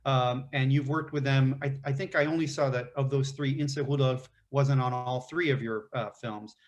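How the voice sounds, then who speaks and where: A man moderating a film festival Q&A. He builds long, detailed questions at an even middle pitch, with the odd "uh" along the way. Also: normal speed. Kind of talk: festival Q&A interview.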